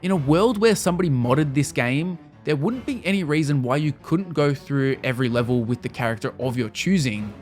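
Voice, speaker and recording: A man narrating a scripted video game review in a casual, wry manner with the odd mild swear. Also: on the high side.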